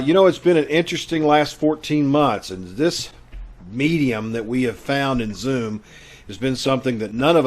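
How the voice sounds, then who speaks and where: A man hosting a show over a video call, chatting in a folksy, casual way as he welcomes and announces. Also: mid-range voice. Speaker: host.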